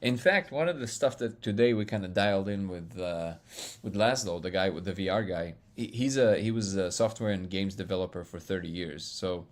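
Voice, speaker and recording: A man hosting a casual live stream, reading viewer comments and answering them in an excitable, loud, booming voice, laughing often. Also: normal speed, hardly any pauses. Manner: excitable, laughs often, reads chat aloud. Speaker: livestream host answering chat.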